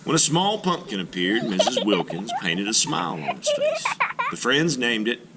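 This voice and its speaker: A man reading a children's picture book aloud in an expressive storytelling manner. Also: on the low side, very noisy. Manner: expressive, slow, lively storytelling. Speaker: art teacher reading aloud.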